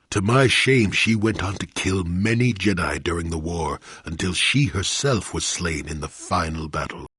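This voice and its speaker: An actor playing a gruff, rueful old former Jedi in a video game, an elderly storyteller with a gravelly voice and a wry, rambling, dramatic way of talking. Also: male voice, low-pitched, fairly bright tone, no room sound, studio quality, full character voice. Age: older. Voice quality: gravelly, husky. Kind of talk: scripted video game voice acting.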